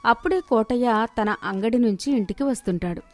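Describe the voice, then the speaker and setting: A woman's voice: a little high, a little fast. A woman narrating a scripted moral story as its storyteller.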